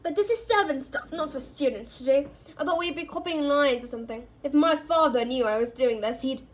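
A woman plays a snobbish schoolboy from a storybook whose nerve is thin. His voice is high and whiny, and he protests with petulant unease. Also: moderate pace, distant mic, lightly acted. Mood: petulant panic. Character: haughty schoolboy, whiny and cowardly. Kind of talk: storybook character reading.

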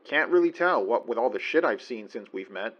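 An actor playing a weary, skeptical supernatural sleuth in naturalistic audio drama dialogue. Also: male voice, medium pitch, animated, a little fast, a bit bright, lo-fi sound. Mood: wary.

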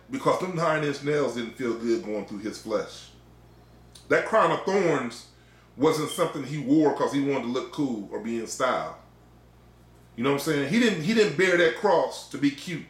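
A man's voice: mid-range voice, a bit bright. Echoey American preacher man. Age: middle-aged. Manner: exhorting, emphatic repetition. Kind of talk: informal sermon. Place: small indoor room. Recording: echoey, roomy sound.

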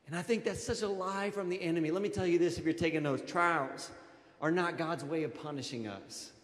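A man in his thirties preaching a conversational sermon as a pastor, informal and animated, addressing his congregation directly into a handheld microphone. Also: a little high. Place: large auditorium stage, indoors. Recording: echoey hall with long reverb.

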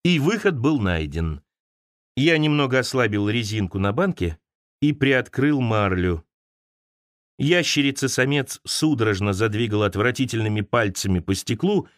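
A man's voice: medium pitch, very animated, normal speed, dry recording, studio quality. A man reading a literary audiobook in the first person, dramatic and wry, drawing out lines of verse. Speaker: audiobook narrator.

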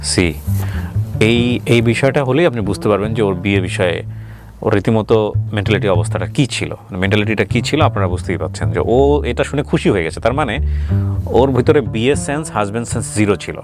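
A man's voice: on the low side, a little fast. A man with a Bangladeshi Bengali accent hosting an interview, asking probing questions and commenting, mixing in English words. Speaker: radio show host interviewer. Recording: music playing under the voice.

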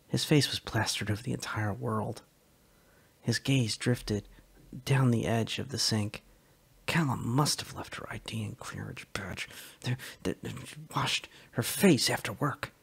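A man's voice: on the low side, gravelly, a bit bright, breathy edge. A man giving a dramatic reading of a short story as narrator.

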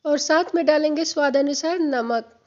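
A woman with a slightly hoarse throat explaining a home cooking recipe step by step in a friendly, instructive way, recorded in a home kitchen. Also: sits high, moderate pace, darkish tone, dull audio. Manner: friendly, instructive, repeats steps. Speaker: home cooking channel host.

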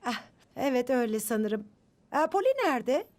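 A woman voicing a kindly, quick-thinking grandmother in a dubbed children's cartoon. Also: on the high side, lively intonation, darkish tone, lightly acted. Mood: curious. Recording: music under the voice.

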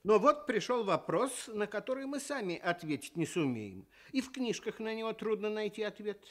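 A male presenter with a high, animated voice introduces segments of a children's radio show. He reads from a script with expressive phrasing. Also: moderate pace.

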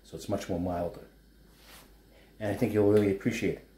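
A low, mellow-toned man with a New York accent rambles cheerfully through a home cooking demo, drifting into chatty asides. His voice rings a little in a roomy living space, with a faint hum of room noise behind. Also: fairly even intonation, a little fast. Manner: chatty, rambling asides while stirring a wok. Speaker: chef and food blogger. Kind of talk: casual cooking demonstration. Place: home living room kitchen setup, indoors.

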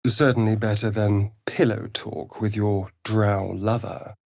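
A man's voice: on the low side, flat delivery, a little slow, creaky, darkish tone, no echo, studio quality. A male actor with an English accent voicing a sardonic, seductive, vain vampire rogue in a video game, with an arch, flamboyant drawl. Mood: mocking. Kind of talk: theatrical video game dialogue.

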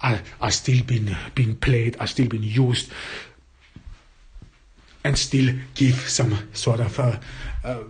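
A young man from Austria, a native German speaker, hosting a solo podcast in a hesitant, halting way with many restarts. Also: medium pitch, steady tone, a little mellow, husky. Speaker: podcast host.

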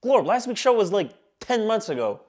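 A man in his twenties from Michigan hosting a comedy talk show sketch in a small studio, irreverent and excitable, swearing a lot. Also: sits high, moderate pace. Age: 20s. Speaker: host. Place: small indoor studio set.

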